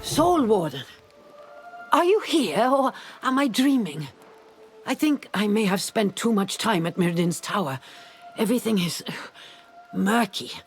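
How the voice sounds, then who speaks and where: An actress playing a dazed, absent-minded mystical woman in a fantasy video game, speaking in a halting, musing way. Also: medium pitch, expressive, soft background music, close to an everyday voice. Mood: dazed, murky.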